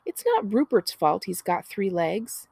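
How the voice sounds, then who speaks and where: A woman's voice: medium pitch, big pitch swings, measured pace, gravelly, darkish tone, breathy edge, dry recording, full character voice. A woman reading a storybook as a defiant, anxious younger sister of about eleven, earnest and indignant. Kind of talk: children's storybook character reading.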